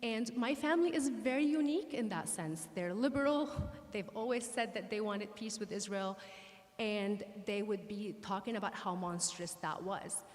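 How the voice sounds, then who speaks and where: A woman's voice: mid-range voice, moderate pace. Woman from Syria speaking in a hall. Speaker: guest speaker. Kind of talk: personal talk to an audience. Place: synagogue hall. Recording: reverberant hall.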